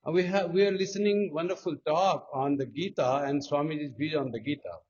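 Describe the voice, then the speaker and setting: Nepali-accented monk, fairly high voice, slow emphatic delivery, muffled lo-fi recording. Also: male voice, a little mellow, husky. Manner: slow, emphatic, lifting pitch on key words. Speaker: monk giving a spiritual talk. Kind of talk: devotional talk with sung Sanskrit chant. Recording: dull lo-fi sound.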